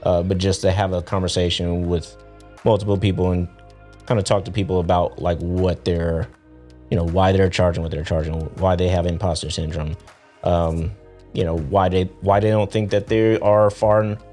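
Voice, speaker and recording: Deep-voiced, rambling podcast guest man. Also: moderate pace, soft background music. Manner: relaxed, rambling with many fillers. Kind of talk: casual podcast chat.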